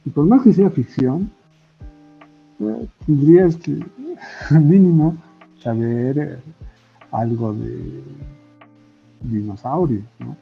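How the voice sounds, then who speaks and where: Slow, hesitant Bolivian man, muffled audio. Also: mid-range voice, creaky. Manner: hesitant, thoughtful, often ending on tag questions. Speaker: guest, comic artist and illustrator. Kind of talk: casual interview conversation. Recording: muffled lo-fi audio with faint music. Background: faint background music.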